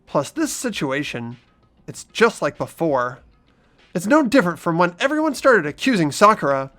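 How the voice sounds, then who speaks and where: A man's voice: high-pitched, sing-song, normal speed, a bit bright, faint music behind. A man playing an earnest, anxious, self-doubting teenage boy who narrates and speaks in a fan-voiced game. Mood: worried. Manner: questioning, earnest.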